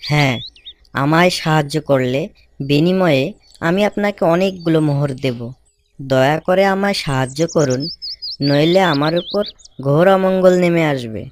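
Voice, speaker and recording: A man's voice: a little high, normal speed, pauses often, a little hiss or hum, lightly acted. A man playing a polite, earnest cartoon snake, speaking in a courteous, entreating way. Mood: polite pleading. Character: polite, earnest talking snake seeking a bride.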